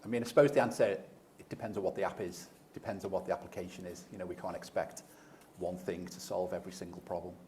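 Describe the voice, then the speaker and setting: A man's voice: low voice, fairly even intonation, quick pace, a little mellow, a little hiss or hum. A man speaking as a panelist, explaining his points in a fluent, conversational way. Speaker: panelist, behavioural scientist. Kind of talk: panel discussion.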